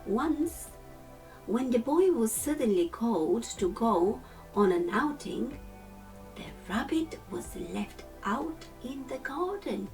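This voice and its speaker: A woman reads a picture book aloud for storytime. Her phrasing is slow and deliberate, in a mid-range voice. She sounds slightly away from the mic, with music playing behind her. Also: a little high, very animated, darkish tone, distant mic, rough recording. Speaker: storytime reader. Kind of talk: children's storybook narration.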